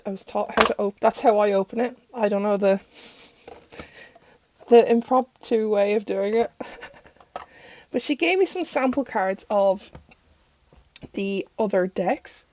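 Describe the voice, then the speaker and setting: A young Irish woman with a croaky voice from recent illness, chatting rambly through a casual review in her bedroom. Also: mid-range voice, unhurried, pauses often, darkish tone, slight background noise. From Ireland. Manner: chatty and rambling, self-interrupting. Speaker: tarot channel host. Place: bedroom at home.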